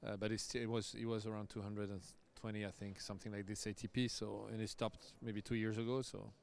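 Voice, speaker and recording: A man's voice: fairly low pitch, level tone, fast talker, creaky. A man, a tennis coach hosting casual live commentary, chatty and loose with easy laughs. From France. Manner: chatty, loose, laughs often, restarts sentences.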